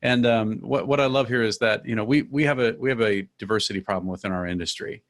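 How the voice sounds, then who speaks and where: A man's voice: lowish voice, fast talker. A man hosting an interview, upbeat and affirming as he asks questions. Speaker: host. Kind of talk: fireside chat interview.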